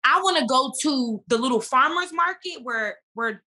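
A woman speaking as an actress guest in a casual interview, emphatic and animated as she tells stories. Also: high-pitched, moderate pace.

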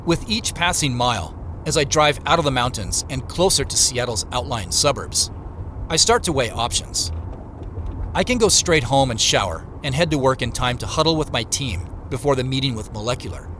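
A man's voice: medium pitch, expressive, moderate pace, fairly bright tone, slight background noise, natural voice. A man acting in an audio drama as a stressed, suspicious, sarcastic man on the run. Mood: brooding. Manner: sarcastic, agitated. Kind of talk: audio drama acting with first-person narration.

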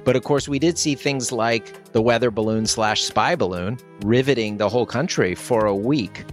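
A man's voice: medium pitch, moderate pace. A man speaking conversationally, as in an interview. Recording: promo clip over music.

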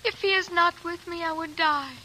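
A woman's voice: extremely high pitch, moderate pace. A fragile, pleading young woman. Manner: soft, pleading, breathless. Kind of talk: 1940s radio acting. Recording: noisy old radio broadcast.

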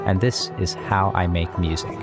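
A man's voice: sits low, fairly even intonation, normal speed. A man from Ohio, a composer, narrating a podcast about how music is made. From Ohio, United States. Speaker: composer, guest presenter.

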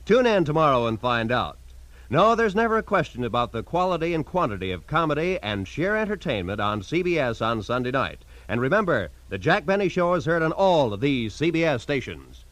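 A man's voice: on the high side, very animated, a little fast, fairly bright tone, no echo. A man announcing in polished, emphatic 1940s radio style, reading scripted promotion.

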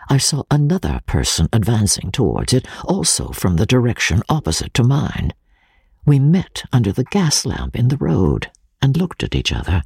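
Very husky, low-voiced woman narrating dramatically. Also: no echo, studio quality. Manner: theatrical, expressive. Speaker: audiobook narrator, podcast host.

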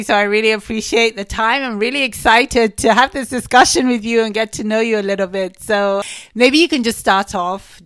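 A woman's voice: mid-range voice, a bit bright, clean tone, dry recording. A woman hosting an interview podcast, moving between scripted introduction and questions for a guest. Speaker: podcast host.

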